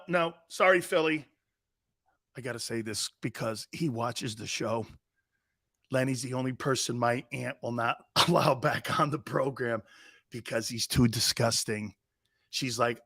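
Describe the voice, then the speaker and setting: A man's voice: medium pitch, pushed and bright. A middle-aged man hosting a live sports talk show, joking and rambling, laughing often as he talks. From Italian-American family.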